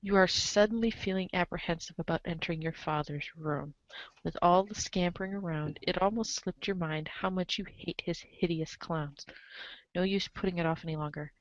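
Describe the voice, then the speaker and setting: A woman with a lowish voice reads a comic script aloud in a theatrical, emphatic way. Her delivery is playfully exasperated. The recording is very dry and slightly dull. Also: normal speed, no room sound. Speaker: reader in a reader's theater show. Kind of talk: animated dramatic reading of a webcomic, in second person.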